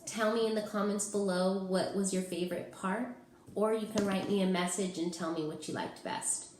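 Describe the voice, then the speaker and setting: A woman teacher reading a picture book aloud to young children with gentle, expressive storytelling. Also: medium pitch, moderate pace. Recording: roomy echo, faint background noise.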